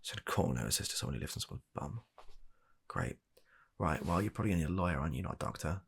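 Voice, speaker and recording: A man acts out a weary British doctor in a comedy ASMR roleplay. His deep voice is gravelly and swings widely in pitch. He sounds reluctant and exasperated, picking his way haltingly through deadpan, soft-spoken remarks. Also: full character voice. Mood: sarcastic, weary. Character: weary, reluctant, baffled male doctor humouring an absurd patient.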